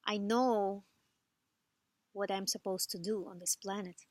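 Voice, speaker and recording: A woman in her thirties from Ukraine, English speaker, telling her life story in a soft, reflective, unhurried way with gentle laughs. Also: mid-range voice, steady tone, dry recording. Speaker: vlogger. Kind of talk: personal life story monologue.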